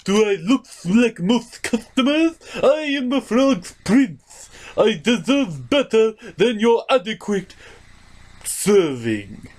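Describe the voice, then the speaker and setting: Man doing a pompous, high-pitched frog prince puppet. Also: big pitch swings, takes it slowly, full character voice. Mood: haughty outrage. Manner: imperious, haughty, indignant. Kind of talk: comic puppet show acting.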